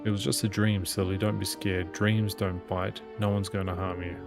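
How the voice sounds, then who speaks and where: A man's voice: low voice, flat delivery, normal speed, vocal fry, music in the background. A man reading a visual novel's narration and dialogue aloud as a gaming host.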